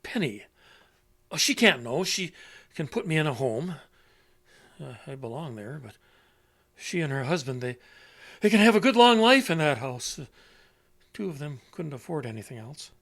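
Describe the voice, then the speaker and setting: A man's voice: fairly high pitch, very animated, normal speed, long pauses, a bit bright, exaggerated voice. An actor playing a confused, reluctant elderly man with failing memory in a horror audio drama, stammering and repeating himself. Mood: worried, halting. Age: older.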